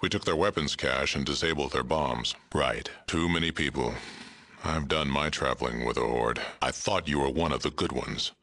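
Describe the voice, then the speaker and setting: A hulking super mutant from a video game, world-weary and stern. His extremely deep, gravelly voice cuts through brightly and forcefully. He speaks in measured, blunt, dry phrases. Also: full character voice. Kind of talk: video game character dialogue.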